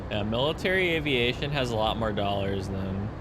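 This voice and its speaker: A man's voice: on the low side, animated, moderate pace, background noise. A man in his early twenties chatting casually with his stream viewers while flying, with rambling asides. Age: 20s. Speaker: flight sim streamer.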